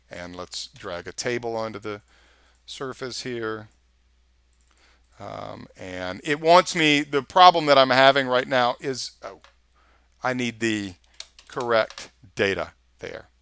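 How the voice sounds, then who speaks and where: Texan male instructor, screencast voice-over, bright and dry, casual and chatty while clicking around. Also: mid-range voice, lively intonation, slow, long pauses, smooth, no echo. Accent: Texas. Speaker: software instructor. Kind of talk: screencast tutorial walkthrough.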